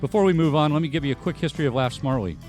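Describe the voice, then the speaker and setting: A man's voice: on the high side, racing, a little mellow. A man hosting a casual talk show, chatting loosely with lots of fillers and restarts. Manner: rambling, many fillers and restarts. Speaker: host. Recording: music bed behind. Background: faint band music.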